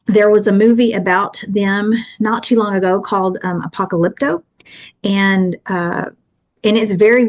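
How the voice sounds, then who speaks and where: Texan lady about fifty, talks earnest and rambly, middle pitch voice. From Texas, USA. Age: 50s. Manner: earnest and chatty, long rambling answers. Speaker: guest author. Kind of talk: casual interview chat.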